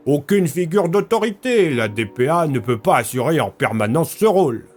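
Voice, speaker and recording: A man's voice: fairly high pitch, very animated, moderate pace, faint music behind. A man playing a refined, scheming military leader in an audio drama, with urbane, courteous and smug manners. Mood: smug, persuasive. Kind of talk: French audio fiction acting.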